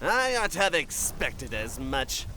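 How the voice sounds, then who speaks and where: A haughty, hot-headed young nobleman of a fantasy game , acted by a man. His voice is high and cutting, and it leaps widely as he sneers. Every archaic line is declaimed with contempt over faint music. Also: very animated, a little slow, bright, forceful tone, slight background noise, full character voice, poor audio. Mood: outraged. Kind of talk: theatrical archaic fantasy dialogue in a fan dub.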